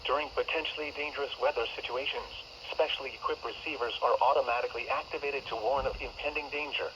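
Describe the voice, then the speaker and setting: A man's voice: medium pitch, steady tone. Synthetic male weather radio voice. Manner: measured synthetic reading, clipped phrases. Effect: text-to-speech voice. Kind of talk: automated forecast and test announcement. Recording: lo-fi radio speaker with loud static. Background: loud radio noise.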